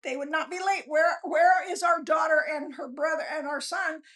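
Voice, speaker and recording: Female author guest, very high pitch, unpolished, self-deprecating, conversational storyteller. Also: steady tone, normal speed, fairly bright tone, raised voice. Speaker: author being interviewed, guest. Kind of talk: casual, rambling storytelling chat.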